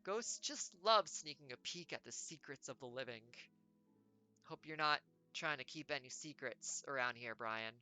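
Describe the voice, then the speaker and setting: A woman's voice: rumbling low, lively intonation, normal speed, sharp tone, soft background music. A woman streamer reading a choose-your-own-adventure game aloud, with playful, wry jokey asides.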